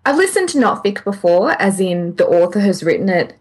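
A woman's voice: mid-range voice, sing-song, fairly quick. A woman co-hosting a podcast in casual conversation, candid, informal and a little self-deprecating. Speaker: podcast co-host.

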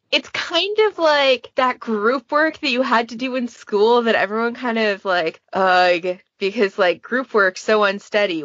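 A woman's voice: mid-range voice, a bit bright, dry recording. A woman chatting casually and informally on a podcast, with frequent conversational asides. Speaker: podcast participant, fan event moderator. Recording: dull, lo-fi dry voice recording.

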